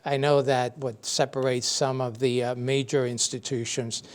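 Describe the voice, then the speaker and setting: A man hosting a TV interview show, asking relaxed questions with plenty of "uh" fillers. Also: medium pitch, moderate pace, clear, resonant tone. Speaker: TV show host.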